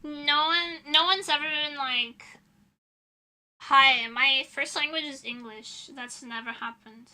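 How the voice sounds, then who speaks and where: A woman's voice: high voice, fairly even intonation, slow pace, pushed and bright. A young woman streaming as a VTuber, chatting casually with her viewers in a rambling, giggly way.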